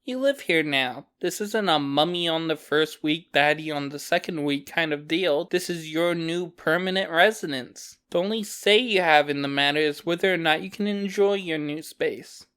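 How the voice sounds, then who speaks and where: A man's voice: a little high, moderate pace, few pauses, pushed and bright, clean tone. A young man voicing a taunting, possessive, sadistic femboy kidnapper in an ASMR roleplay, with a feminine-sounding voice and mocking, condescending baby-talk. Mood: scolding, controlling. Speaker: voice actor. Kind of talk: ASMR roleplay character acting.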